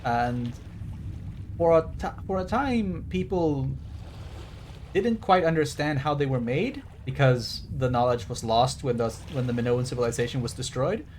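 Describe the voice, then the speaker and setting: A man's voice: mid-range voice, expressive, measured pace, darkish tone, a little hiss or hum. A man giving casual livestream game commentary, digressive and wry as he explains trivia. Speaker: game streamer.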